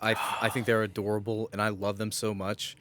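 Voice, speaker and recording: Low-voiced man in wry casual chat. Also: level tone, brisk, noisy background. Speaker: podcast co-host.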